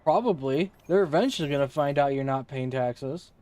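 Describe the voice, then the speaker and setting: A man's voice: a little high, moderate pace, warm tone. A man giving casual gameplay commentary as a streamer, half talking to himself and half chatting to viewers. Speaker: game streamer. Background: game music.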